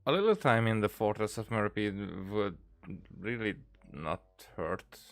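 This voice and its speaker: A man's voice: very animated, a little slow, no echo. A man giving casual, dry, hesitant commentary as he plays a video game. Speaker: game streamer. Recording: faint background rumble.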